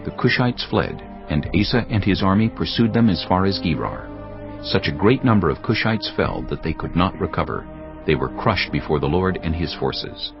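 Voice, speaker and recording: Very deep, expressive male scripture narrator. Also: normal speed, vocal fry. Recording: dull lo-fi sound, music behind. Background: music.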